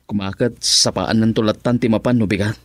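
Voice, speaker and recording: An actor playing a reluctant, grumbling young adult son in a radio drama, with a Filipino accent. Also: male voice, lowish voice, steady tone, a little fast, no echo, close to an everyday voice.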